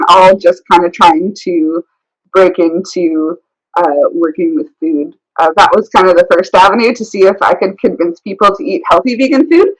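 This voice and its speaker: A woman being interviewed as a business owner, chatting casually and pausing often to think. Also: on the low side, fairly bright tone, slightly rough, poor audio. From born in Thailand, raised travelling the world. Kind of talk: casual chat.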